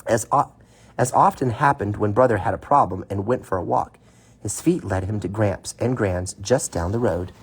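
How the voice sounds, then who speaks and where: A man's voice: fairly low pitch, normal speed, breathy edge. A man reading a children's story aloud, with informal, playful asides to a young listener.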